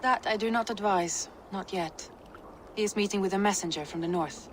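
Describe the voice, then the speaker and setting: A woman's voice: mid-range voice, normal speed, background noise, natural voice. An actress voicing a composed, formal female advisor in a video game cutscene. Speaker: game character.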